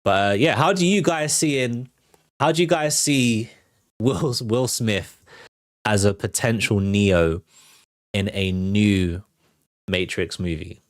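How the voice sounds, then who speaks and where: A British man with a fairly low voice hosts a casual entertainment livestream. He talks conversationally with relaxed slang, working through his opinions out loud. The sound is dry, with no room echo. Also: slow, pauses often. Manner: conversational, slangy, thinking aloud. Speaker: host of an entertainment news livestream. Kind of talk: casual livestream commentary on film and TV news.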